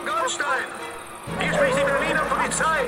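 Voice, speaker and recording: An actor playing a stern police officer in a radio drama, shouting declamatory demands for surrender. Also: male voice, very high voice, little pitch movement, normal speed, over music. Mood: commanding.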